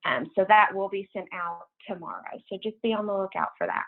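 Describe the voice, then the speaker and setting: An American woman giving informal announcements as a program coordinator on a conference call, in a casual, halting way over a tinny phone line. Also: medium pitch, expressive, vocal fry. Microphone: telephone conference call.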